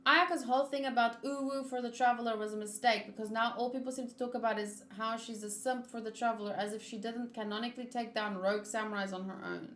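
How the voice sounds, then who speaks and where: A woman's voice: on the high side, fairly even intonation, fast talker, few pauses, fairly bright tone. A woman chatting informally on a gaming livestream, reacting aloud as she talks. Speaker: streamer. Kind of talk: casual livestream chat while gaming.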